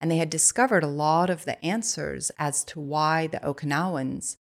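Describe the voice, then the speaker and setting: A woman guest on a podcast, chatting in a casual, eager way and filling her sentences with "like." Her voice sits low, with a gravelly fry running through her speech. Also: moderate pace. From Switzerland. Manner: enthusiastic, lots of "like" fillers. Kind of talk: casual conversational storytelling.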